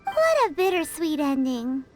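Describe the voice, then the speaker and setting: Super high squeaky little game fairy, cheeky n bouncy, music behind. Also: female voice, very animated, measured pace. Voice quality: squeaky, childlike. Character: tiny childlike floating fairy companion, cheeky and chirpy. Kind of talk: anime video game voice acting.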